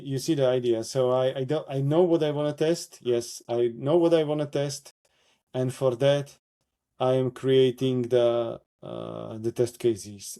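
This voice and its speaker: Male workshop presenter, Slovak accent, dark relaxed tone, halting and full of restarts. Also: medium pitch. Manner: halting, many fillers and self-corrections. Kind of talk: live software demo walkthrough.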